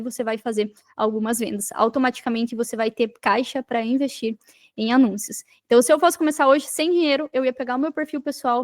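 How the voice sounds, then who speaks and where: A woman with a Brazilian accent and a high-pitched voice talks as a guest coach in a casual interview. She explains step by step in informal language, repeating phrases for emphasis. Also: a little mellow. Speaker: podcast guest, digital marketing coach.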